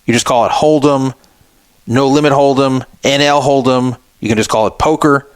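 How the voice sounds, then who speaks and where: A man's voice: mid-range voice, fairly even intonation, normal speed, clear, resonant tone, no room sound. A man hosting a talk radio show, giving opinionated commentary and scoffing sarcastically at a statement he reads aloud.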